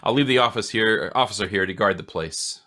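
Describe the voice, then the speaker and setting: A man playing a matter-of-fact homicide inspector with halting speech in a radio drama. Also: lowish voice, sharp tone. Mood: businesslike. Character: businesslike male homicide police inspector. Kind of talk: old-time radio drama acting.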